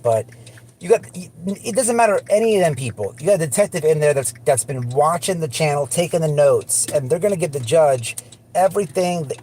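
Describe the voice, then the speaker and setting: A man's voice: a little high, dark tone. A man hosting a casual livestream, talking in a blunt, slangy, profane way. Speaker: livestream host. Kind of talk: casual livestream chat and commentary. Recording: noisy recording with car sounds.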